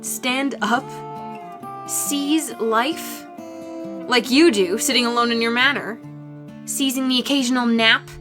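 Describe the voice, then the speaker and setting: Brief: female actor as earnest teen village girl, highish voice, defiant and challenging, fantasy audiobook over music. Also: takes it slowly, long pauses. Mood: mocking. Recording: music behind the voice.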